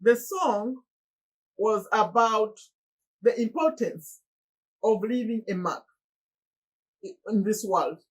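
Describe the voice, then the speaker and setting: A middle-aged woman from Uganda with a Ugandan accent, talking earnestly to her viewers in a motivational monologue, often pleading and with sudden high exclamations. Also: slow pace, pauses often, slightly rough, rough recording. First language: Luganda. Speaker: motivational YouTuber.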